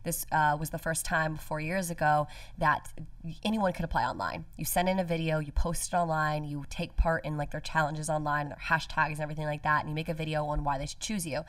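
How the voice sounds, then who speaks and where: A woman's voice: low-pitched, fairly even intonation, fairly quick, fairly bright tone, dry recording, distant mic. A woman in her late twenties, a podcast guest, chatting casually and earnestly about her career, with many "like" fillers. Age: 20s. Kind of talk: casual interview chat. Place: podcast studio with window. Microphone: studio mic on stand, headphones.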